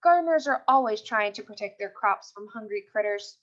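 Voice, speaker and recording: A woman voicing a patient, gently encouraging male schoolteacher in a storybook. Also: mid-range voice, animated, fairly quick, natural voice. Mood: encouraging, curious. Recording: dull, lo-fi sound.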